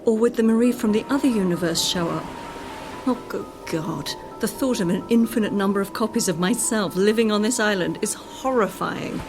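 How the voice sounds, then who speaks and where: An actress playing a fed-up, headstrong scientist's wife in a radio drama, sardonic and insistent in manner. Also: female voice, mid-range voice, moderate pace, music in the background, lightly acted. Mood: sardonic, weary.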